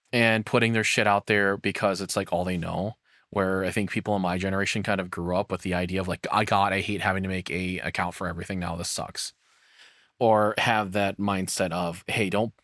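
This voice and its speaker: A man's voice: fairly low pitch, fairly bright tone, dry recording, studio quality. A man giving casual, rambling reaction commentary as a streamer, swearing freely in an exasperated way.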